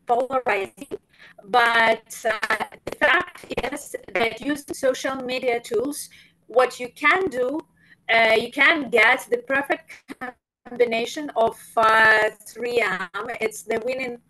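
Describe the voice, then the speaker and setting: Bright-voiced Ukrainian woman, halting and slow. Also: a little high, low-quality recording. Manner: hesitant, many fillers, careful. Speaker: panelist. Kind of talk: panel talk over video call. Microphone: video call.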